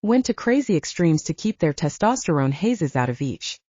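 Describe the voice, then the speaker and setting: A woman reading a first-person story as an audiobook narrator in a scripted, expressive storytelling style. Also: low voice, moderate pace, no echo, dull audio.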